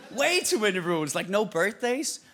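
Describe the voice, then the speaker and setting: A high-pitched man in his forties, raised in Denmark, whose English carries an Irish-sounding lilt. He tells deadpan, self-mocking stories into a handheld mic on a theatre stage. A loud wash of noise sits behind his voice. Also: moderate pace. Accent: Danish speaker with an Irish-sounding English accent. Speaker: stand-up comedian. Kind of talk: stand-up comedy set. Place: theatre stage with live audience.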